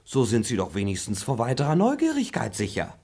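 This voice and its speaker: A husky, sly servant in a classic radio play, swinging pitch, teasing. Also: male voice, huge pitch swings, fairly quick, darkish tone, full character voice. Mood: mocking. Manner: teasing, laughs at his master. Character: cheeky scheming young manservant, mocking liar. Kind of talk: stage radio play acting.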